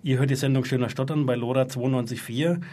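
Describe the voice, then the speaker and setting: A man's voice: medium pitch, steady tone, fast talker, mellow. A man hosting a radio talk show, speaking in a thoughtful, reflective way with an occasional stutter. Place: radio studio.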